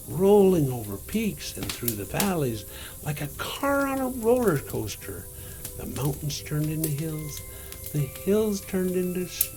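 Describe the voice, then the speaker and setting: An older man with a gravelly voice reads a storybook aloud to children as Santa, gently and full of wonder, addressing them directly. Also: fairly high pitch.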